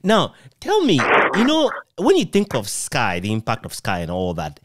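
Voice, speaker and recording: A middle-aged Ghanaian man interviewing conversationally, asking long, measured, reflective questions. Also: mid-range voice, huge pitch swings, a little hiss or hum. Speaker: interviewer, author. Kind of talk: conversational radio history interview.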